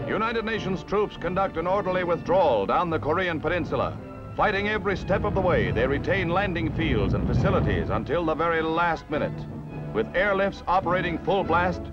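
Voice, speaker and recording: An American man reading scripted 1950s newsreel narration with a dramatic, solemn, emphatic announcer delivery. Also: fairly high pitch. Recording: muffled vintage 1951 newsreel soundtrack.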